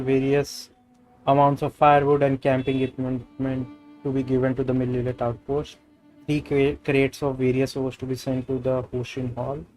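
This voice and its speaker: A man's voice: medium pitch, darkish tone, rough recording. A man with an Indian accent, a native Hindi speaker, doing live gameplay commentary and reading dialogue aloud. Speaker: game streamer.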